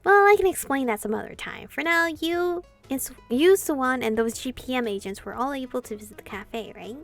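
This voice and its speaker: High-voiced woman doing expressive let's-play commentary. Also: a little fast. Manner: expressive, swearing in reactions. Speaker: host of a gameplay channel. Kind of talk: let's-play commentary with reading aloud of translated dialogue. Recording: game music behind.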